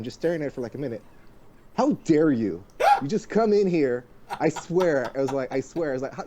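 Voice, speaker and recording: A man's voice: a little high, dark tone, slight background noise. A man co-hosting a streamer talk show, chatting casually, leaning heavily on "like" and swearing. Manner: fast, heavy use of "like", swearing. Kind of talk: casual chat.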